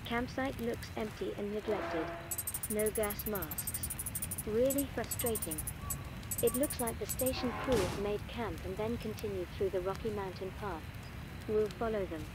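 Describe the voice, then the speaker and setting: A woman playing an earnest, composed archaeologist recording measured, articulate expedition audio logs for a game. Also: mid-range voice, very noisy, close to an everyday voice. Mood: frustrated, uneasy. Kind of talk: scripted audio-log narration, game voice acting.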